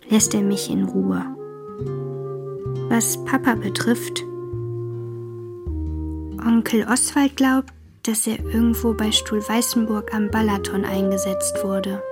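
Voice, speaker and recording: Slow, wistful young girl over guitar. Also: medium pitch. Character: frank, wistful young girl reading her letter to her brother. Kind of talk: radio drama letter reading. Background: plucked guitar music.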